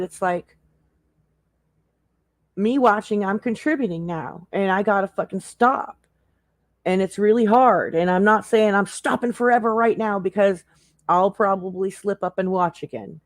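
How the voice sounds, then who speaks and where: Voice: medium pitch, a little slow, no room sound, studio quality. A livestream co-commentator chatting casually in a blunt, profane way. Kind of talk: casual chat.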